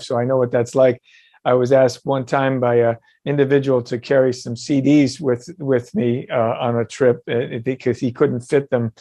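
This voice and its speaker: A man's voice: mid-range voice, a little mellow, no echo. A man hosting a conversational interview show, affirming his guest and feeling his way through his phrasing with some hesitation.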